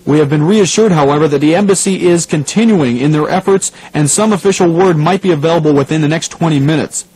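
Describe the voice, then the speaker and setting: A man's voice: on the high side, quick pace, hardly any pauses, darkish tone, lightly acted, low-quality recording. A man playing a serious radio news anchor covering a crisis, composed and formal.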